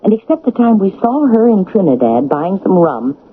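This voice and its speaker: Radio drama: chatty older woman, wistful and lively-toned, on a narrow vintage broadcast. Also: medium pitch, moderate pace, breathy edge. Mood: coy, curious. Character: nosy, wistful older wife who gives in meekly. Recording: thin, noisy vintage radio recording.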